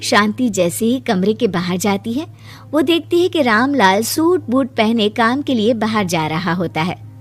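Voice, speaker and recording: A woman's voice: a little high, lively intonation, a little slow. A woman reading scripted storybook narration for a cartoon moral tale. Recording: music behind the voice.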